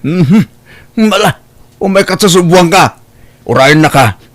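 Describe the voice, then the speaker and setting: Radio comedy drama role: doting elderly dad, played by a man, very animated, slightly high, nagging kindly. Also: a little slow. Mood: fussing, coaxing. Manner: fussing, coaxing, exclamatory. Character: doting, fussing old father of a grown daughter. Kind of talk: radio-style Ilocano comedy drama acting.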